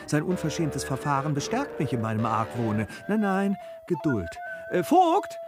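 An actor playing a polite, suspicious gentleman in a radio play, measured and articulate, with insinuating questions. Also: a little high, wildly swooping pitch, music in the background. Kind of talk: German radio play acting of classic comedy.

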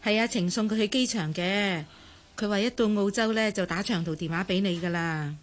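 A woman's voice: medium pitch, a little fast, natural voice. Fussing, caring mother, played by an actress. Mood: fond scolding. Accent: Hong Kong Cantonese. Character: fussing, scolding, caring mother of adult daughter. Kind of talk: Cantonese radio drama acting.

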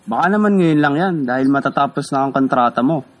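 A stern male factory supervisor in a radio drama, played by an actor, speaking. He is blunt and scolding, grudging with any favor and quick to dismiss his workers, in a voice of middling pitch. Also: animated, lightly acted. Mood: dismissive.

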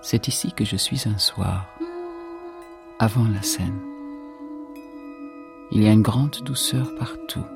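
A woman playing a sober narrator recalling her childhood home in a radio play, measured and factual, with a husky, creaky voice. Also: rumbling low, over music, exaggerated voice. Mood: sober, reflective. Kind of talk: literary radio play narration in German and French.

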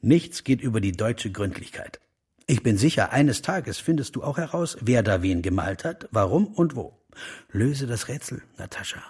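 An actor playing an enigmatic, theatrical translator and lover in a radio drama, speaking in a lyrical, deliberate way. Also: male voice, medium pitch, lively intonation, darkish tone, slightly rough, no room sound, studio quality. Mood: lyrical, wistful.

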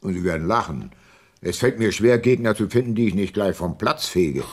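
A shrewd older private detective from a radio drama, with a low, closely dry-recorded voice. He is self-assured and probing, with a measured, dry delivery. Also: animated, no room sound. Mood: charming, boastful. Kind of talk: radio play narration and dialogue.

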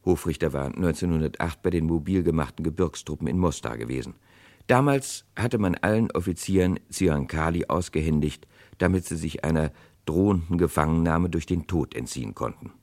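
An actor narrating a 1960s radio crime play as a grave chronicler, with dramatic, deliberate delivery. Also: male voice, rumbling low, a little mellow. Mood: grave, reflective. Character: grave male chronicler of a historic murder case.

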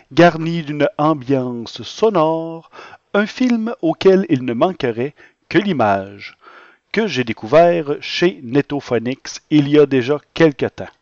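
Guy hosting a podcast, slow and earnest, kinda florid, dull dry recording. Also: fairly high pitch, a little mellow, no room sound. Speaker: podcast segment host and interviewer. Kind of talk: scripted podcast presentation and informal interview.

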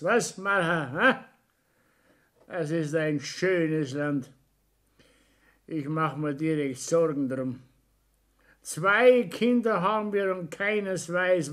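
Grumbly older bavarian husband, highish voice, slow scolding talk, old german radio drama. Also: male voice, a bit bright. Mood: boastful, sentimental. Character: bullying, self-pitying older husband, a small-town businessman. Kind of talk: 1960s German radio play acting.

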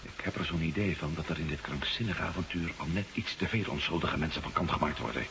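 An actor playing a humane, wry former astronaut on the run in a Dutch radio drama. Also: male voice, extremely low pitch, fast talker. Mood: calm, weary. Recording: noisy old radio play recording.

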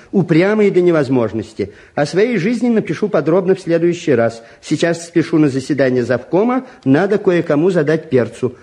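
A mild intellectual father of middle age. His voice sits a little high and he speaks deliberately and soothingly. The style is that of a vintage Soviet radio play. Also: dark tone. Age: middle-aged. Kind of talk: mid-century Soviet radio-play acting.

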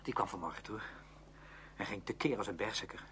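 An actor playing a headstrong, outspoken young music student in a Dutch radio play, speaking emphatically and with defiance. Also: male voice, lowish voice, brisk, bright, forceful tone. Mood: agitated, defiant. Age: young adult. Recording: noisy old radio drama recording.

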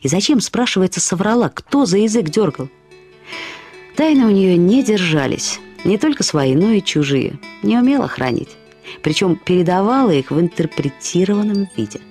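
An actress narrating a short story as a woman fondly and ironically recalling a friend, with expressive, slightly husky delivery. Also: medium pitch, moderate pace, soft background music, lightly acted. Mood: reminiscing, wry. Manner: acts out quoted remarks with lively intonation. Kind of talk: expressive literary storytelling, first-person reminiscence.

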